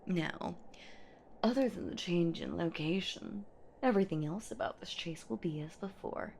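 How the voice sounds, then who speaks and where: Girl doing a creepy playful ancient boogeywoman for horror roleplay, lowish creaky voice, teasing like a predator, kinda whispery. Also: a little mellow, a little hiss or hum. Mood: anticipating, menacing. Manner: theatrical, teasing, slow, often dropping to a whisper. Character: menacing, playful centuries-old female boogeywoman monster hunting her prey. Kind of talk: horror audio roleplay, addressed to the listener.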